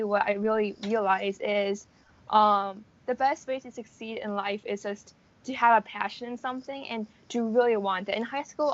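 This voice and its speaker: A woman's voice: mid-range voice, muffled recording. A young American woman in her late teens giving casual interview answers, informal and often hedging as she explains. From United States. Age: around 18 to 19. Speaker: interviewee, college student.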